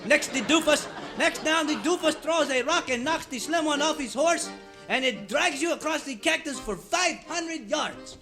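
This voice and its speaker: An actor playing a tyrannical foreign auteur movie director who barks clipped commands in a radio serial parody. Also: male voice, extremely high pitch, normal speed, music in the background. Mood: barking commands.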